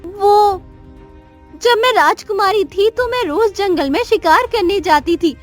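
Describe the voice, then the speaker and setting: A very high, girlish-pitched young queen voiced by a woman from a cartoon tale. She is an anxious, guilt-ridden mother who speaks earnestly and dramatically, pleading, with faint music underneath. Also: measured pace. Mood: confessing. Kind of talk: Hindi cartoon story dialogue.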